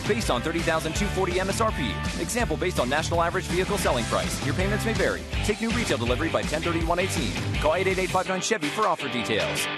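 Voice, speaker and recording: A man's voice: fairly high pitch, big pitch swings, fast talker, hardly any pauses. A man reading a scripted car-dealer radio commercial as its announcer. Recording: loud music bed under voice.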